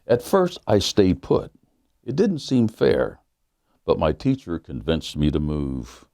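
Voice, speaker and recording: A very low-voiced man reads a children's book aloud, earnest and unhurried, the way a pastor speaks to kids. His tone is dark and mellow, and the sound is close and dry with almost no room around it. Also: huge pitch swings, vocal fry, no room sound. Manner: measured, earnest storybook reading.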